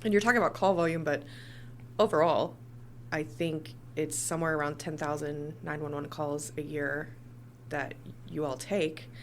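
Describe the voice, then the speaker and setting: Woman, podcast host, lowish voice, conversational interviewing, background hum and noise. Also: fairly even intonation, normal speed. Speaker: podcast host, communications director.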